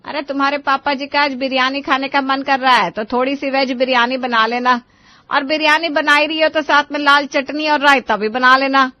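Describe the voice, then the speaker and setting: A stingy older mother-in-law from a family cartoon, acted by a woman. Her voice sits fairly high and she scolds in a bossy, dismissive way. The recording is close and dry, a little dull. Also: steady tone, moderate pace, dry recording, close to an everyday voice. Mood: bossy, complaining. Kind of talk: Hindi cartoon story voice acting.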